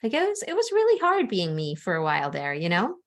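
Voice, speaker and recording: A woman's voice: fairly low pitch, huge pitch swings, fast talker. A young adult woman from the Bahamas, a spiritual coach, speaking openly and earnestly in an interview, with frequent "like" fillers. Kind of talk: candid interview conversation.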